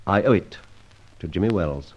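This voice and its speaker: A suave gentleman bandit of old radio drama, heard through a dull, lo-fi recording. His low voice swings freely as he gives courtly orders and assurances, tossing in bits of slang. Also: expressive, moderate pace, breathy edge. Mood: earnest, firm. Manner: genteel, courtly, commanding, slangy. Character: suave male gentleman thief, masked, chivalrous adventurer.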